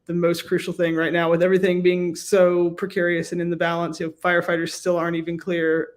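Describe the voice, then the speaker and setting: An American woman speaks as an academic guest in an interview. Her answers are measured and explanatory, often built from emphatic lists. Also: on the low side, completely flat delivery. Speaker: professor and researcher guest.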